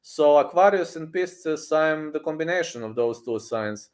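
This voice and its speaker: Halting man chatting on a livestream. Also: fairly high pitch, expressive, a little mellow. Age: 30s. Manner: halting, repetitive, thinking aloud. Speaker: livestream host answering viewer comments. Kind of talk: casual unscripted livestream chat.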